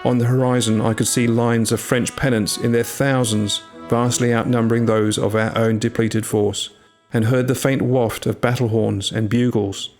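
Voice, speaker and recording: A man reading a scripted first-person tale in a measured, literary storytelling manner. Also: medium pitch, flat delivery, darkish tone. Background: music.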